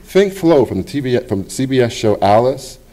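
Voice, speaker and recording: A middle-aged man reading his personal essay aloud at a public library event, in a measured, expressive way with pauses. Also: mid-range voice.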